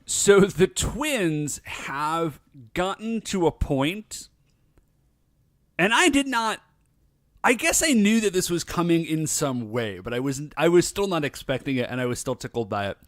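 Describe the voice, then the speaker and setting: Animated man, dry close sound. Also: fairly high pitch, measured pace, no echo. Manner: playful, teasing, animated. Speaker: podcast co-host. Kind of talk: casual chat. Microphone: recording earphones, studio mic.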